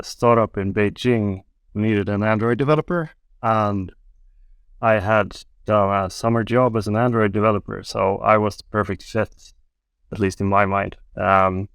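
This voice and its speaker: A man, telling his story as a podcast guest in a relaxed, wry way. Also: lowish voice, gravelly, clear, resonant tone, dry recording, studio quality. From Sweden. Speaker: guest, angel investor. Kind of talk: casual podcast interview.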